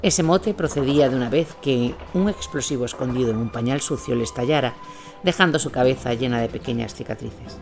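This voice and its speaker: A man's voice: medium pitch, a little fast, vocal fry, soft background music. A man reading scripted first-person war narration as a storyteller. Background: noisy ambient sound.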